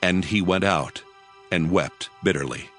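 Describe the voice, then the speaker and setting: A man narrating scripture as a solemn, measured storyteller. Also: low-pitched, a bit bright, faint music behind.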